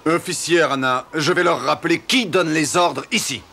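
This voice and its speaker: An actor playing a resolute, persuasive explorer admiral in an animated historical series, speaking with declamatory authority. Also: male voice, on the high side, normal speed. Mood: firm.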